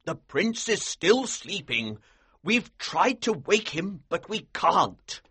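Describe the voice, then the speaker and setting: Military colonel, husky strain, slow emphatic delivery, raised pitch, scheming and commanding, audiobook adventure. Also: male voice, sing-song, sharp tone, no echo, heavily acted. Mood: urgent. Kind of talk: audiobook character acting.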